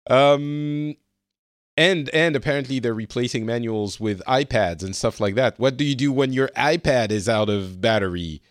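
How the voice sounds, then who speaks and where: A man hosting a casual podcast, steering the talk with quick questions. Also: mid-range voice, dry recording, clean studio sound. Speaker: podcast host. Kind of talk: casual current-affairs chat.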